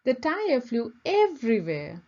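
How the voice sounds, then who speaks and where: A woman reading a children's picture book aloud in a measured, expressive way. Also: a little high, muffled recording. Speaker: storybook reader. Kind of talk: children's read-aloud narration.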